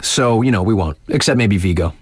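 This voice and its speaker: Lively, cocky snowboard radio DJ. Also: male voice, lowish voice, brisk, slightly rough, no echo. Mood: breezy, wry.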